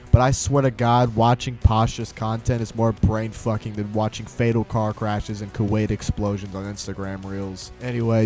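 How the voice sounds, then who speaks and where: A man's voice: medium pitch, darkish tone. A man giving a casual, profane opinion rant as a video commentator, sarcastic and blunt, with lots of slang. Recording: music behind the voice. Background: background music.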